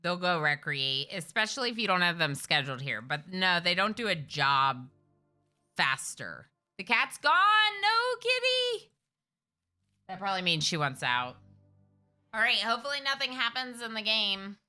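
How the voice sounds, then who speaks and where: A woman streaming a game live, chatty and excitable, talking to her characters as she plays at home. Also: mid-range voice, moderate pace, soft background music. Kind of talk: live gameplay commentary.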